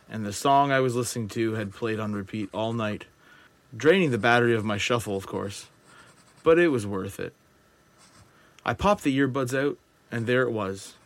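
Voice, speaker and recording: A man playing a wary, detached survivor of an apocalypse, narrating a journal in a deliberate, reflective audio drama style. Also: medium pitch, natural voice. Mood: reflective, concerned. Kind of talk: scripted first-person dramatic narration.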